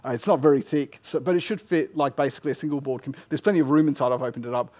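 A middle-aged man answering technical questions informally as a conference speaker, in a halting way with frequent false starts. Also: mid-range voice, fairly even intonation, warm tone. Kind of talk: informal technical Q&A after a talk. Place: indoor lecture room, at a lectern. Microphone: headset microphone.

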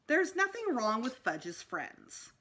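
Woman reading as an easygoing, bright-voiced mom. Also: a little high, huge pitch swings, slow, voice pushed up, heavily acted. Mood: reassuring. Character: unworried, easygoing mother of young children.

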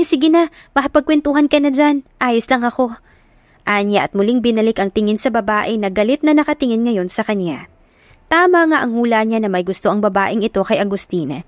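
A woman narrating a romance story in a steady, even reading voice. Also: medium pitch, dry recording.

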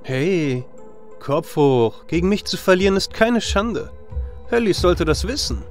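A nonchalant, cocky male hero as a man acts him in a fantasy radio play. His voice sits a bit high and he talks bluntly and casually, with music playing underneath. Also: sing-song, a little slow. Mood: calm, sympathetic. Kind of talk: fantasy audio drama acting.

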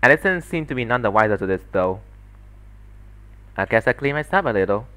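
Male solo dubber, story narrator, lively pitch movement, scripted first-person reading. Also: fairly quick.

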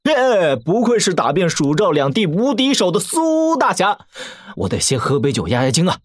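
A young martial-arts prince with a fairly high, lively voice. He is breezy and teasing yet used to command, heard close and dry in a radio play. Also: moderate pace, dry recording, full character voice. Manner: breezy, bantering, mock-polite. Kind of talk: audio drama acting.

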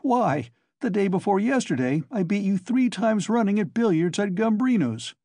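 A man voicing a cheerful, quick-witted young man in an audiobook, ringing and insistent. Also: high-pitched, lively intonation, warm tone, no echo, pitched above the performer's own voice.

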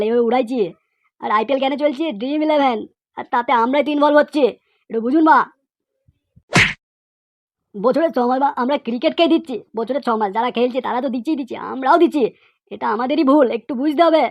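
Woman as outspoken cartoon pupil, high-pitched, quick-fire, preachy, emphatic repetition, comic monologue. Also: no room sound. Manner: emphatic, repetitive, rhetorical questioning.

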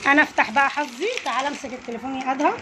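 امرأة مصرية سريعة الكلام بصوت عالٍ. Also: female voice, high voice, fairly even intonation, racing, heavy background noise. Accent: Egyptian Arabic. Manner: chatty, coaxing the kids along. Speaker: channel host. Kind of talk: casual unboxing chat with children. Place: home, indoors, family around. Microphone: phone held by hand.

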